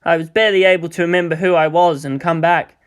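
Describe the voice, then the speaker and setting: A woman's voice: lowish voice, steady tone, fast, clean tone, lightly acted. A woman voicing a thoughtful, world-weary teenage boy in a storybook reading. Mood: guarded, self-deprecating.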